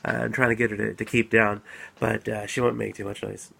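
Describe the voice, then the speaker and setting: A man recovering from a cold, calmly explaining his views in a casual solo video talk, with frequent fillers and restarts. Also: on the low side, a little fast, vocal fry, slightly rough. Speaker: apologetics speaker. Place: at home.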